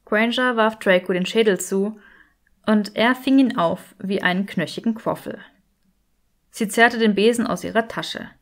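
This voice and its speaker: A woman reading a story as an audiobook narrator, in an expressive scripted style. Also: medium pitch, measured pace.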